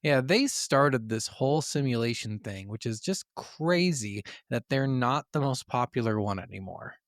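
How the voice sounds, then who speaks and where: A man co-hosting a podcast in casual banter about video games, blunt, teasing and quick to swear. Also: medium pitch, lively intonation, dry recording, clean studio sound. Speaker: podcast co-host.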